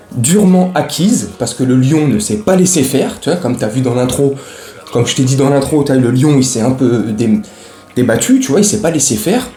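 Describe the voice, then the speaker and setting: A man's voice: medium pitch, dark tone. A man giving a casual, slangy football recap as host, talking straight to his viewers. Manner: slangy, chatty, addresses viewers directly. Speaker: host of a football fan show. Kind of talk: casual match recap to camera. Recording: roomy, echoey room sound.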